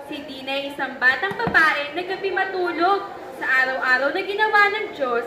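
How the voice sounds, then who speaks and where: A young woman narrating a puppet show for children, reading a scripted story. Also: high voice. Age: young adult. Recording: echoey room with background noise.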